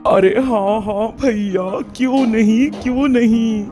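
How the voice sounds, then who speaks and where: A man's voice: very high voice, slow pace, dark tone. A voice actor playing an eager, polite young male juice seller in a cartoon story. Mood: cheerful. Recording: cartoon voice-over with faint music.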